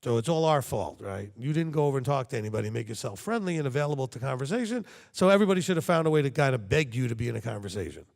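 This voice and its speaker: A man's voice: mid-range voice, lively intonation, rapid-fire, vocal fry, few pauses, a little mellow, in a large hall. A man preaching a sermon to his congregation, talking conversationally and checking in with frequent rhetorical questions. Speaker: congregation leader.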